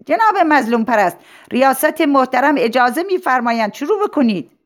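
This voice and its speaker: A woman voicing a thin man in an audience, calling out loudly with showy courtesy in a story. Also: high voice, sing-song, pitched above the performer's own voice. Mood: prompting.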